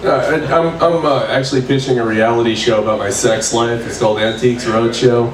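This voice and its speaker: A man in his sixties doing a stand-up set at an open mic, delivering dry, deadpan one-liners. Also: mid-range voice, brisk. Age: 60s. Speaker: stand-up comedian. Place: open mic comedy venue. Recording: echoey room, distant mic, background noise.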